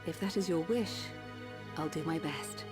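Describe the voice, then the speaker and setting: An actress playing a calm, dutiful village doctor of a machine race in a video game cutscene, over music. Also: female voice, low-pitched, unhurried. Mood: steady, reassuring.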